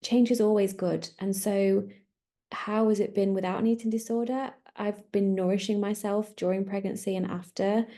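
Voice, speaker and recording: Woman, thirties, casual podcast talk, rambling, self-correcting, dark mellow tone. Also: medium pitch, steady tone, moderate pace. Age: 30s. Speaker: podcast host. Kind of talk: casual solo podcast chat.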